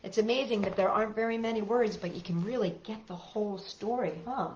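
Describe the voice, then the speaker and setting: A woman hosting a storytime for children, reading aloud in an expressive, casual way and putting questions to young listeners. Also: medium pitch, a little fast, roomy sound, highs cut off, slight background noise. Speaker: storytime host reading picture books to children.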